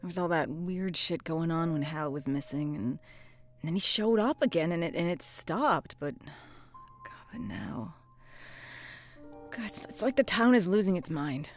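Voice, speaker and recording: A weary small-town policewoman with a slightly low voice. She is earnest and troubled, speaking haltingly and naturalistically, as in an audio drama. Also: lively intonation, soft background music. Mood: weary, distressed. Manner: halting, hesitant, sighing, swearing.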